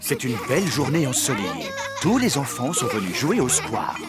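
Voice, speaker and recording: A man narrating a French-dubbed children's cartoon as a clear storyteller for small children. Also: mid-range voice, wildly swooping pitch, normal speed, over loud music. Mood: calm, storytelling.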